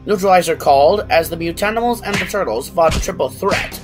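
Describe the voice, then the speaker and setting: A man narrating a scripted fan fiction story in an expressive way. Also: sits high, a little fast, music in the background.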